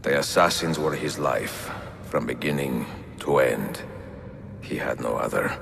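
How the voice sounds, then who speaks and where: An actor playing a reflective, aging assassin in a video game, narrating gravely and with measured respect. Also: male voice, a bass voice, fairly bright tone, heavily acted. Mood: solemn admiration. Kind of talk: solemn dramatic narration. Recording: long hall reverb, faint music.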